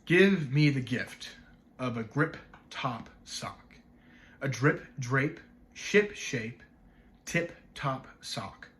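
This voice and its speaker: Man as a deliberate, playful tongue-twister reciter. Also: mid-range voice, lively intonation, fairly bright tone.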